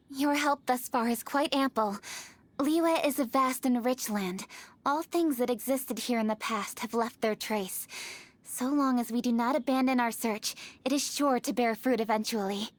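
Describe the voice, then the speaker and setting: A woman's voice: fairly high pitch, a little slow, natural voice. A woman playing a formal, earnest young noblewoman, composed and articulate, in video game dialogue. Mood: gracious, resolved. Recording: faint music behind the voice.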